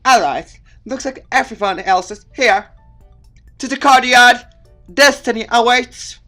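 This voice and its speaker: A man voicing a brisk, exasperated schoolteacher announcing things to a class in a visual novel game. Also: very high voice, pushed and bright, faint music behind, pitched above the performer's own voice, heavily acted. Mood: grand.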